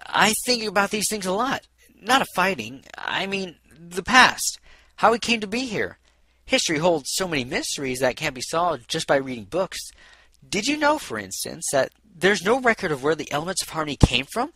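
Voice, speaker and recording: A man's voice: high-pitched, sing-song, moderate pace, sharp tone, voice pushed up, exaggerated voice. A man voicing a nervous, bookish young female unicorn from a storybook, hesitant and earnest. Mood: earnest, curious.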